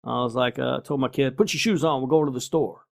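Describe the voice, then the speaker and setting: A man's voice: mid-range voice, steady tone, fairly quick, gravelly. A middle-aged man co-hosting a podcast, chatting casually. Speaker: podcast co-host. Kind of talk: casual chat.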